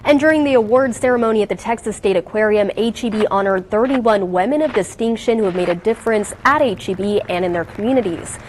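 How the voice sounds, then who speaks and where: A woman's voice: mid-range voice, quick pace, hardly any pauses, darkish tone, a little hiss or hum, rough recording. A woman anchoring local television news with a scripted delivery.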